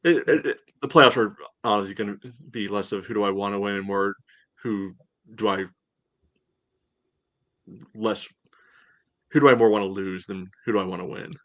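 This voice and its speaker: Lower-voiced man in casual chat. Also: moderate pace, long pauses, fairly bright tone. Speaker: sports podcast co-host. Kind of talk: casual sports fan chat.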